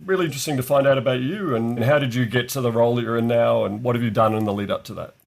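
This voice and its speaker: Male podcast host, fluent and conversational, brisk pace. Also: mid-range voice, gravelly. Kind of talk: interview hosting.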